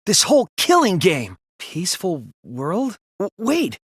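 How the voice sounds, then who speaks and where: A man voicing an earnest, sensitive young student detective in an anime video game, with intense, emotional, sometimes halting delivery. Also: sits high, wildly swooping pitch, a bit bright, husky, no echo, clean studio sound, full character voice. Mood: bewildered, distressed. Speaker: voice actor.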